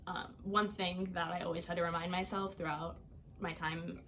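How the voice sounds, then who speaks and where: A young woman shares her life story as a podcast guest, talking casually with many fillers and light laughs. Her voice sits a little low, and a faint ambient hum runs underneath. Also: level tone, moderate pace, fairly bright tone. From Iowa, United States. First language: Albanian. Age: young adult. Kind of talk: personal storytelling.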